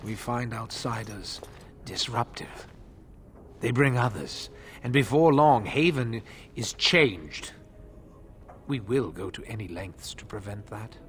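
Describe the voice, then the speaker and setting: An actor playing an older male cult priest in a fantasy game, formal and measured in his speech. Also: medium pitch, expressive, slight background noise. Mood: veiled menace. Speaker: preacher. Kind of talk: scripted fantasy game acting.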